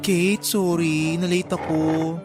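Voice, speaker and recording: Casting: caring, teasing young guy best friend, radio drama; expressive, coaxing, light music underneath. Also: on the high side, slow. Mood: friendly. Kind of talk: Tagalog radio drama acting.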